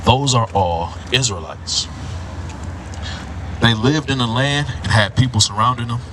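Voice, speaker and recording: A man's voice: medium pitch, a little slow. Man preaching outdoors, lecturing tone. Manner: lecturing, asks "right?" again and again. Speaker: street preacher. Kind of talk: street preaching to a passerby. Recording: street noise, mic at a distance.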